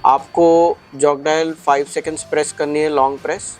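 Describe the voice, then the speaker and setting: A man's voice: on the high side, level tone, normal speed, soft background music. A man gives a casual step-by-step product tutorial, explaining things and mixing in English phrases. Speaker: product reviewer and shop presenter.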